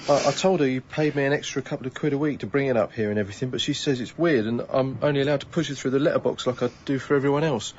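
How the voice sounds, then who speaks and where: Radio comedy: man as young paperboy, sheepish and fast-talking, slightly noisy recording. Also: mid-range voice, lo-fi sound, natural voice. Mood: awkward, apologetic. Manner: hesitant, matter-of-fact. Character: apologetic, awkward young paperboy.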